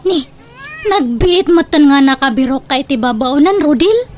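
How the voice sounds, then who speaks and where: A woman playing a shrill, jealous Ilocano wife. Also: animated, measured pace. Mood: prying. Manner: whiny, accusatory. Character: jealous, suspicious, nagging wife. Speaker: radio drama actor. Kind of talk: comedic radio drama acting. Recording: faint background noise.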